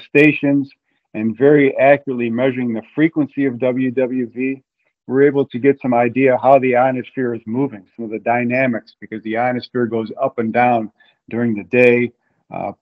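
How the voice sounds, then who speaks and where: A middle-aged man giving informal, halting explanations as an interview guest on a video call from home. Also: mid-range voice. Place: room at home. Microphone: video call, earbuds. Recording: narrow, phone-like video call sound.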